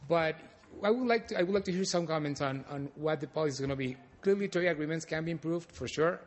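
Man, audience member, earnest, searching for words, higher pitch. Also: quick pace. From Costa Rica. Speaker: audience member asking a question.

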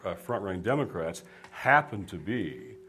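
A man with a fairly low voice talks earnestly, with a preacherly rhythm, building his points in repeated phrases. He speaks as a guest on a discussion panel. Steady noise runs underneath the recording. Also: normal speed. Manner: earnest, preacherly cadence, tells jokes. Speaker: guest author.

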